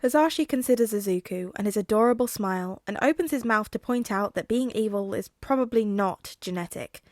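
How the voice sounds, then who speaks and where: A woman narrates a piece of fiction from a script. Her pitch is average for a woman. The recording is very dry, with little room sound. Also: brisk, no echo.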